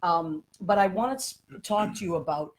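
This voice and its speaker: A woman's voice: lowish voice, lively intonation, normal speed. A woman hosting a live online talk show, chatting with guests and greeting viewers as they join. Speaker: host. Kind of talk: casual live interview chat.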